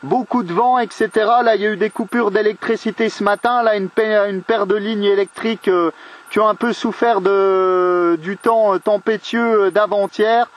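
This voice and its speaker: A man's voice: high voice, steady tone, normal speed. A man chatting casually as an amateur radio operator, trading call signs and friendly remarks.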